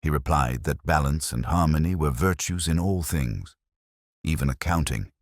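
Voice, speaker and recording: Brief: a man with a rumbling, very low voice, narrating a detective story in first person with measured, reflective delivery, recorded dry and close. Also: expressive, dry recording.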